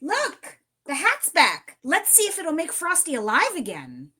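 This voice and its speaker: Woman playing an earnest, high-voiced little girl. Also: wildly swooping pitch, unhurried, sharp tone, heavily acted. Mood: hopeful. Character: earnest, caring young girl in a cartoon. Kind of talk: live script reading of a cartoon.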